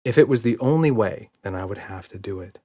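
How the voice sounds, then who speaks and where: A man's voice: lowish voice, expressive, a little fast, dark tone. A man voicing a patient, reassuring, playful father in a children's storybook, indulgent and mock-serious. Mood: reluctant.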